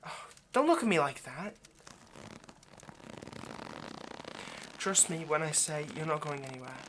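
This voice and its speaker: A man's voice: fairly high pitch, expressive, brisk, sharp tone. A man playing a nervous, centuries-old vampire kidnapper in an intimate ASMR roleplay, hesitant, stammering and apologetic as he speaks to the listener. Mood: flustered regretful. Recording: faint background noise.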